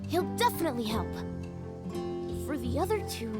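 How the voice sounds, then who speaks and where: A woman voicing an eager young sprite who dreams of knighthood in a video game, earnest, childlike and excitable. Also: sits high, normal speed. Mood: earnest, helpful. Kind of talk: animated video game voice acting. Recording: prominent background music. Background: game music.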